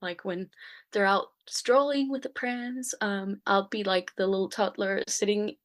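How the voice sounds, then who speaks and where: A young woman with a Danish accent, a guest talking casually in an interview, often pausing with fillers and restarting her sentences. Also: medium pitch, fairly even intonation. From Denmark. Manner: hesitant, many fillers and restarts. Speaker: guest artist. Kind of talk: casual conversation.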